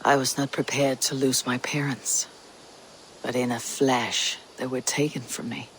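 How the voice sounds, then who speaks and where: An actor playing a blunt, stoic Viking raider in a video game cutscene, speaking in a measured, solemn way. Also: male voice, medium pitch, level tone, a bit bright, a little hiss or hum, poor audio. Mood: solemn, consoling.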